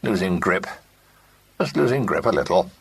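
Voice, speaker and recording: A man's voice: sits low, lively intonation, moderate pace, creaky, breathy edge, heavily acted. A man voicing a pompous older businessman who talks ponderously with a false heartiness. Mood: sympathetic. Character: pompous older male company partner.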